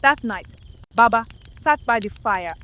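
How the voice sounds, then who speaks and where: A woman narrating a scripted folktale as a storyteller, in a solemn, deliberate, dramatic manner. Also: fairly high pitch, expressive, pushed and bright.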